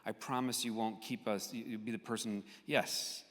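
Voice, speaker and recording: Bright-voiced man moderating in an echoey hall. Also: lowish voice, steady tone, normal speed. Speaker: host moderating an audience discussion. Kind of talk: spontaneous discussion moderating. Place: large hall at a live public event. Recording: echoey hall with long reverb.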